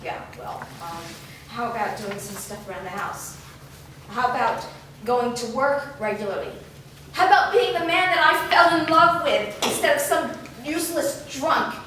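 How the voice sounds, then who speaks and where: A woman plays a frustrated wife scolding her idle husband, exasperated and pleading, in a high voice. The recording is distant and very echoey, with steady hiss behind her. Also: moderate pace, close to an everyday voice. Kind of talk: staged play reading. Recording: echoey room, far mic, hiss.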